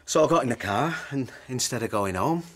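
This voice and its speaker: A man acting in a radio drama as a weary, earnest husband who hesitantly justifies himself, his voice husky. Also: mid-range voice, animated, a little fast, a bit bright. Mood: self-justifying.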